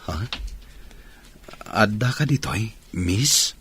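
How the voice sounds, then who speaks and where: Humbled young man, deep pitch, Ilocano accent, radio drama, slight background noise. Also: expressive, drawn-out words, fairly bright tone, heavily acted. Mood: hesitant, startled. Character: proud young man humbly seeking work, deferential son.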